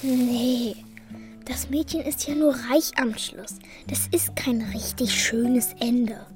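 A woman's voice: on the high side, takes it slowly, darkish tone, soft background music. A woman playing a cheeky, bossy orphan girl with wistful moments in a children's radio drama, speaking in a pert, colloquial way. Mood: curious, wistful.

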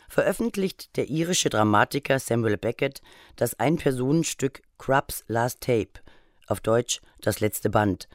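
A man's voice: medium pitch, no echo. A man reading scripted documentary narration for a radio feature in a studio.

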